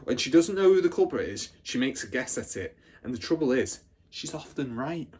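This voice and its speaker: A man's voice: mid-range voice, sing-song, moderate pace, a little mellow, breathy edge. Man voicing a boastful, swaggering schoolgirl storyteller. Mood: dramatic, aggrieved. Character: boastful, battle-hardened older schoolgirl telling her exploits.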